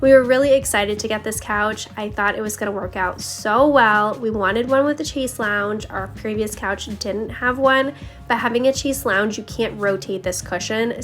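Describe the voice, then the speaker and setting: A woman giving a casual, confiding voice-over narration as a home vlogger, talking straight to viewers with a slightly scratchy voice. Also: medium pitch. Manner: chatty, confiding, addresses viewers directly. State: slightly scratchy voice, needs to clear throat. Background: background music.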